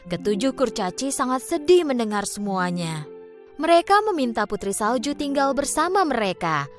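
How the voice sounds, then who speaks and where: Female narrator for a children's cartoon, expressive storybook reading with a lively, fairly high voice.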